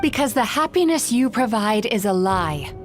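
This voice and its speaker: A calm, principled young woman from a video game. Her slightly high voice stays composed and earnest as she reasons through an argument. Game music plays clearly behind her. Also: normal speed. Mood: firm.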